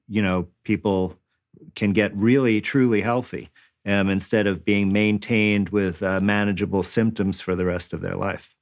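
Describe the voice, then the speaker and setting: A man in his fifties answering interview questions conversationally, explaining things and often checking for agreement. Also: fairly low pitch. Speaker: interview guest, natural healing practitioner.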